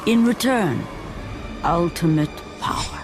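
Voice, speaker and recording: A performer playing a solemn storyteller of ancient myth, giving grave, portentous narration for a video game. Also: female voice, fairly low pitch, lively intonation, takes it slowly, over music. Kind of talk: dramatic scripted game narration.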